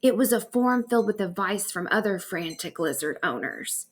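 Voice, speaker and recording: A woman narrating a bedtime story aloud in an expressive storytelling manner. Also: mid-range voice, normal speed. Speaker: author reading own stories aloud.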